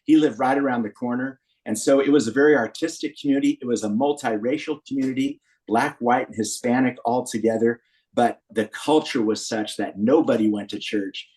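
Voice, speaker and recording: An American man in his sixties speaks as a guest on a podcast. His voice sits at an average pitch, and he recounts personal history in an earnest, anecdotal manner. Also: a little fast, a little mellow. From Berkeley, California, USA. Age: 60s. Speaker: podcast guest, founder of a men's community group. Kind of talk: personal storytelling in a podcast interview.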